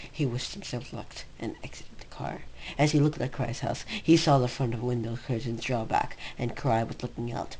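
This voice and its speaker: A man's voice: medium pitch, creaky, a little mellow, low-quality recording. A man reads fan fiction aloud as an amateur narrator. His delivery is dramatic storytelling. There is faint noise on the home recording. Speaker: story reader.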